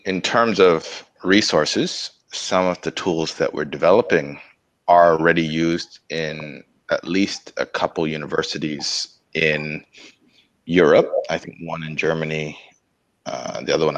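A man in his forties with a very deep, low voice speaks on a video call. He sounds close and dry, with no room echo. He explains things in a measured way, pausing to think. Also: fairly bright tone. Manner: measured, thinking aloud with pauses. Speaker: community organizer. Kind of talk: casual video call discussion. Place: small room at home. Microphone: video call, laptop mic.

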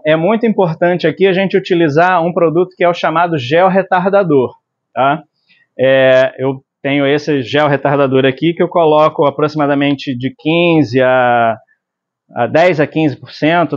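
A man's voice: on the high side, moderate pace. A man in his forties from Brazil teaching screen printing in his workshop. He explains step by step while mixing inks and keeps checking in with the viewer. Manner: explanatory, tag questions like "tá?". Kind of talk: hands-on tutorial. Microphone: lapel mic. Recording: slightly dull lo-fi sound.

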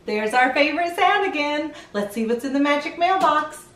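A middle-aged woman hosting children's storytime, animated and sing-song, speaking directly to young listeners. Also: high-pitched, moderate pace, roomy sound, slight background noise. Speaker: children's read-aloud show host. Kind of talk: children's storytime presenting and picture-book reading.

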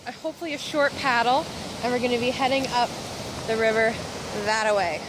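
A woman in her thirties talks to an action camera while paddling a kayak down a river, upbeat and quick to laugh. Her voice sits a touch high. Steady water and wind noise run under everything. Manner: cheerful, laughs often. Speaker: vlogger. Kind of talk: casual outdoor vlog. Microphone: action camera held close or mounted on the kayak.

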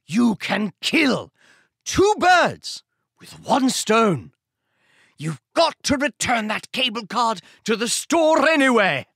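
A man playing a pushy, pleading call-centre agent as a mock-Shakespearean dramatic reading, theatrical and drawn-out. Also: very high voice, sing-song, gravelly, fairly bright tone, husky, no room sound, heavily acted. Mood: wounded, coaxing. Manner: melodramatic, halting, drawn-out delivery.

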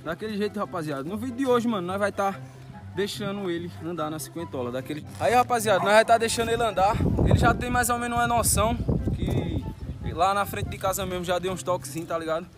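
A young adult man with a Northeastern Brazilian accent, talking in slangy, excitable vlog style with short repeated commands, outdoors on a phone. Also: high voice, fast talker. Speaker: motovlogger. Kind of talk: casual vlog chat and riding instructions. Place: outdoors on an empty street by a motorbike. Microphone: phone at arm's length. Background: music, motorbike engine.

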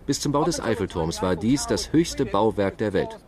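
Man, deep voice, explanatory documentary commentary, crowd clearly audible behind. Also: very animated, normal speed.

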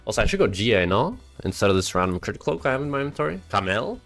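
Animated young Norwegian streamer, low voice. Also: male voice, fast talker, faint music behind. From Norway. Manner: joking, swears and shouts at game moments. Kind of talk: live gameplay commentary and chat banter.

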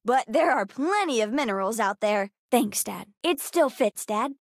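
A woman voicing a bright, bookish, sassy young girl in a video game, earnest, chatty and precocious. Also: a little high, animated, moderate pace, dry recording, clean studio sound. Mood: cheerful, earnest. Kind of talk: video game character dialogue.

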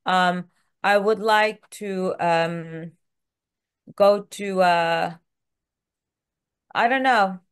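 A woman's voice: fairly low pitch, drawn-out words, long pauses, clear, resonant tone. A middle-aged woman whose first language is French, speaking English with a French accent as a guest in a frank, chatty way with many fillers, over a video call from home. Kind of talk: casual interview chat.

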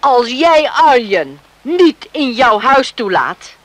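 A woman's voice: high-pitched, sing-song, slow, no room sound, full character voice, low-quality recording. An actress in a radio play as a proud, outspoken middle-aged farm mother, emphatic and indignant in her delivery. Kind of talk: Dutch radio play acting.

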